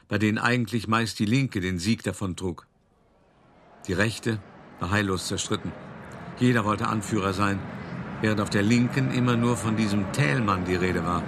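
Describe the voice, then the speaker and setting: Man acting an older art dealer, low-pitched, cultivated, measured dramatic storytelling, self-justifying, radio play monologue, background noise. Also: lightly acted. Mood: reminiscing. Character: old art dealer recounting his past, indignant, self-justifying.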